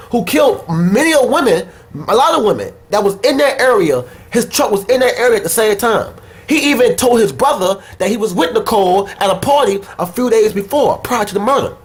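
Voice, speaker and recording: Blunt, swearing young man with a head cold. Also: high voice. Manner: blunt, opinionated, swears freely. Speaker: podcast host. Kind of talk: casual uncensored chat.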